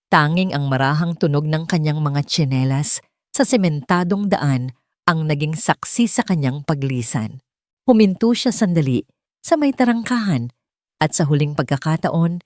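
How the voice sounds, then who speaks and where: A woman's voice: low voice, a little mellow, no room sound, studio quality. A woman reading a scripted dramatic audiobook as the story's narrator.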